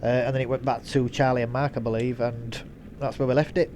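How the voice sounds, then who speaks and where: A man's voice: mid-range voice, flat delivery, quick pace, a little mellow, a little hiss or hum. Bunged-up man, chatty. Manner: chatty, rambling, frequent ums. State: congested with a head cold. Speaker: gaming channel host. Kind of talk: casual intro to a gameplay video. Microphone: desk mic he switches off.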